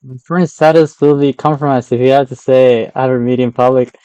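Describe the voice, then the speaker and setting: A man's voice: mid-range voice, fairly even intonation, a little fast, warm tone, clear, resonant tone, dry recording. A man with an Argentinian accent, a native Spanish speaker, chatting casually and rambling as he streams a game. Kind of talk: casual live stream chat while gaming.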